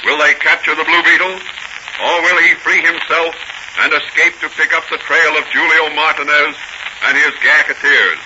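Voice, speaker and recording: A man's voice: on the high side, fairly even intonation, moderate pace, noisy background. A man narrating a radio serial as its announcer in a formal, dramatic 1940s style. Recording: muffled vintage 1940 radio recording. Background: music.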